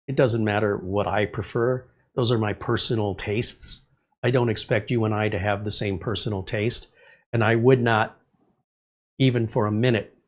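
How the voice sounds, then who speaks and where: A middle-aged man hosting a casual livestream, a little congested, chatting in a rambling way and reading viewer comments aloud. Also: on the low side. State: slightly congested. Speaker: livestream host.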